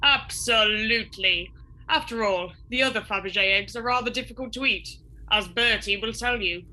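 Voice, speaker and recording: Comic radio Tsar, female performer: pompous, genial, gullible, forceful bright delivery. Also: medium pitch, normal speed, poor audio. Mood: jovial. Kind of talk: comic radio drama acting.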